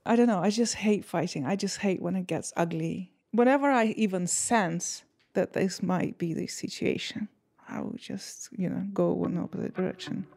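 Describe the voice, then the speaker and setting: Low, gravelly woman recalling things candidly. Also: moderate pace, darkish tone. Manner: candid, slightly non-native phrasing. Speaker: interviewee. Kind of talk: interview recollection.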